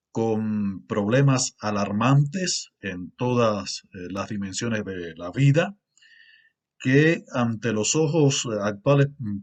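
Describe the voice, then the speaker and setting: A middle-aged man with a Cuban accent speaks in a somewhat low voice. He delivers a formal academic talk at a steady, earnest pace, often pausing with fillers as he builds his points. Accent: Cuban Spanish. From Cuba. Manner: measured, earnest, with filler pauses. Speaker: university lecturer.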